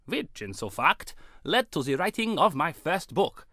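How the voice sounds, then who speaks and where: A man's voice: fairly high pitch, very animated, a little slow, sharp tone, no room sound, clean studio sound, full character voice. A man playing an earnest guest author in a comedy radio play.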